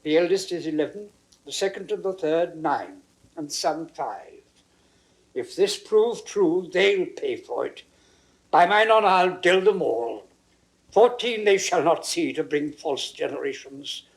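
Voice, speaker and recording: An actor playing a blunt, hot-tempered old lord, theatrical and emphatic, in classical Shakespearean drama. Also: male voice, a little high, expressive, a little slow. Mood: indignant. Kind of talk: classical Shakespearean stage acting.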